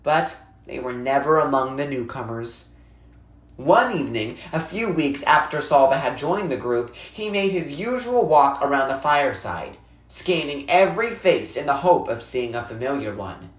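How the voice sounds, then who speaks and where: A narrator reading a children's novel aloud expressively and deliberately, with dramatic pauses for emphasis. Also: mid-range voice, big pitch swings. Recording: roomy, echoey sound.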